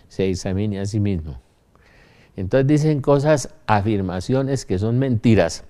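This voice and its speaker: A man's voice: fairly low pitch, dark tone. An older man with a Colombian accent giving an informal religious talk in an anecdotal, emphatic manner, often asking rhetorical questions. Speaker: religious speaker.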